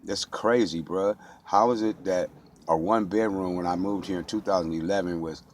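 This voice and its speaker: A man's voice: sits low, fairly even intonation, moderate pace, a little hiss or hum. An American man speaking off the cuff in an impassioned monologue, blunt and streetwise, with slang and heavy swearing. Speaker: local resident speaking out.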